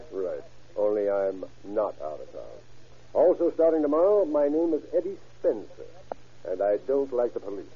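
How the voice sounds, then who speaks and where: A man's voice: lowish voice, big pitch swings, moderate pace, slightly rough, full character voice. An American man playing a confident, easygoing police captain in a radio crime drama, talking in a folksy, assured way. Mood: confident, jovial. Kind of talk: old-time radio crime drama acting. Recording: narrow, tinny vintage radio broadcast.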